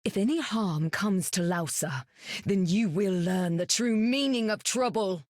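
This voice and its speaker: A woman's voice: medium pitch, unhurried, a little mellow, dry recording, natural voice. Stern, proud warrior goddess voiced by a woman, close-miked. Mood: stern, resolute. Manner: formal, archaic, severe. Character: stern, proud warrior goddess, fierce protector of her sister. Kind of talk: video game character voice acting.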